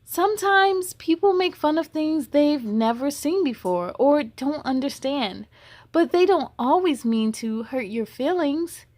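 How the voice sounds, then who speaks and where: Woman playing a patient storybook mother, high voice. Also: expressive, a little slow, darkish tone, no echo. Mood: reassuring. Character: gentle, patient mother of a young schoolgirl. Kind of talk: storybook character voice.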